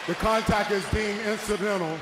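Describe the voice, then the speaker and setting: A man's voice: sits high, steady tone, moderate pace, over a noisy crowd. A man announcing official rulings as a referee in a formal, declarative way in a large arena. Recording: echoey arena PA, clear noise.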